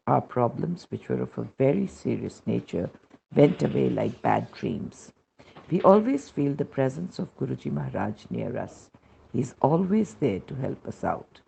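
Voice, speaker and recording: A man with an Indian accent reads a scripted devotional testimony in a measured, solemn manner, on a dull recording. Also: medium pitch, vocal fry, warm tone, slight background noise.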